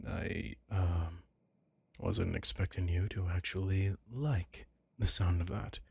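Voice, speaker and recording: A man playing a soft-hearted but possessive young mafia heir in an intimate ASMR roleplay, speaking gently and haltingly in a husky, breathy voice. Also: low voice, no room sound, exaggerated voice. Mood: awkward, coaxing. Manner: halting, gentle, apologetic, slipping into whispers. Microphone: close, dry microphone.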